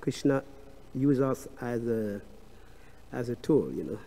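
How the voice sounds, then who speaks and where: A man with a strong French accent giving a devotional scripture class, speaking in a halting way with frequent hesitations and restarts. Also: mid-range voice, lively intonation, dark tone. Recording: long reverb of a large hall.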